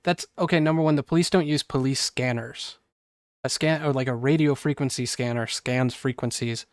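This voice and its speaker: A man with a clear, smooth voice at a middle pitch, recorded close and dry. He hosts casual reaction talk and explains things with skepticism. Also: steady tone, normal speed, no room sound. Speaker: show host.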